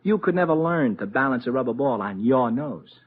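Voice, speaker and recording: An American actor in a 1950s radio drama playing a naive, folksy, excitable comic sidekick. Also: male voice, mid-range voice, a little fast, close to an everyday voice. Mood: earnest, comic. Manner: bewildered, folksy, eager. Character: naive, excitable small-time trickster with a seal act. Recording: muffled, slightly noisy old radio recording.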